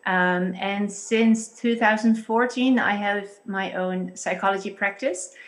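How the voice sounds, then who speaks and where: A woman in her thirties with a Dutch accent, a pastor and psychologist explaining things carefully and haltingly over a video call. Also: medium pitch, steady tone. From Netherlands. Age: 30s.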